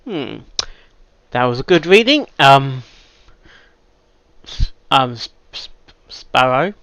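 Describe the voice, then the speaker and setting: A man giving casual reaction commentary, halting and thinking aloud, with sudden louder bursts of emphasis. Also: medium pitch, big pitch swings, no room sound. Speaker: reaction video host.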